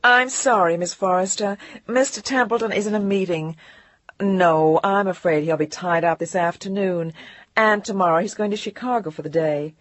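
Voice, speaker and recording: A woman's voice: medium pitch, moderate pace, dry recording, close to an everyday voice. A woman voicing a poised young executive secretary with a cool, polished, cooing manner. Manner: cool, polished, faintly condescending.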